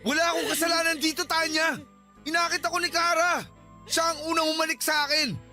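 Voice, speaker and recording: A man's voice: very high-pitched, steady tone, a little fast, bright, forceful tone, soft background music, exaggerated voice. A man playing a defensive, agitated cheating husband who shifts blame in a radio drama. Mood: defensive, angry. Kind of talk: Tagalog radio drama acting.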